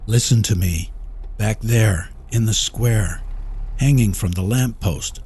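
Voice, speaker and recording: A man's voice: fairly low pitch, slow pace, breathy edge, exaggerated voice. A man reading a short story as a panicked, desperate small-town store owner, pleading and rattled. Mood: weary, urgent. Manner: frantic shouting, pleading, later shaky and weary. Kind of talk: audiobook character acting.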